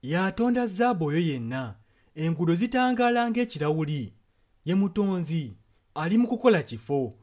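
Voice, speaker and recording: A Ugandan man serves as a sermon interpreter. His voice sits a little high for a man, and he delivers the preaching in short translated passages. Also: sing-song, moderate pace, a little mellow.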